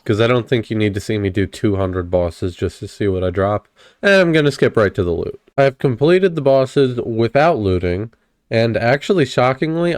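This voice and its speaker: A man's voice: fairly low pitch, darkish tone, clean tone, no echo. A young man recovering from a cold, casually narrating a video game test as host of his channel and explaining each step in a chatty, upbeat way. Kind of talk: casual gameplay commentary.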